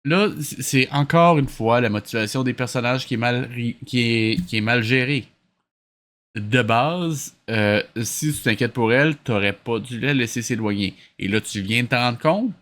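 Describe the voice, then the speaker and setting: A man's voice: mid-range voice, normal speed, a bit bright. Sarcastic Québécois man reacting casually. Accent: Québécois French. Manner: sarcastic, exasperated critique. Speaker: host of a series-watching reaction show.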